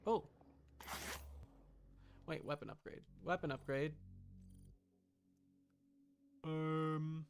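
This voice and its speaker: A man's voice: fairly high pitch, steady tone, slow, a little hiss or hum. A man chatting casually as he streams a game, rambling and repeating his phrases as he reacts to play. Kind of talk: casual live gameplay chat. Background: game audio.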